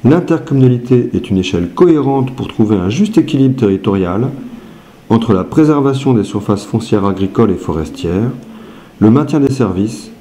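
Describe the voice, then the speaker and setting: A man reads prepared written statements aloud. His voice sits at an average pitch and has a mellow, dark tone. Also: expressive, normal speed. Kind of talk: scripted reading of written statements.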